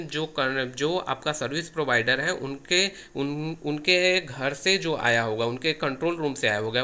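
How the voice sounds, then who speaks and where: A man's voice: on the high side, bright, forceful tone, slight background noise. An Indian man giving an informal hands-on tutorial, explaining step by step and often repeating himself. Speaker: tech tutorial presenter.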